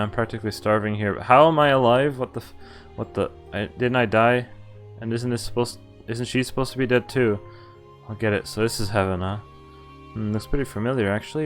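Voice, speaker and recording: A man streaming a game, reading its story aloud dramatically and breaking off into incredulous asides. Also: lowish voice. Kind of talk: reading a visual novel aloud with spontaneous reactions. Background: game music.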